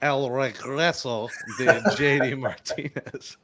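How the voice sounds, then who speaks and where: A man's voice: mid-range voice, steady tone, slow, sharp tone, slight background noise. A man hosting a casual sports podcast, joking and poking fun at himself as he stumbles over foreign words. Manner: joking, self-mocking, fumbles foreign words. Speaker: podcast host.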